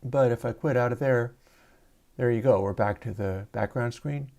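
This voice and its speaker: A man hosting a casual livestream and explaining his audio gear step by step in a soft, soothing voice, talking into a lavalier mic. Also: mid-range voice, normal speed, gravelly. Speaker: livestream host and audio developer.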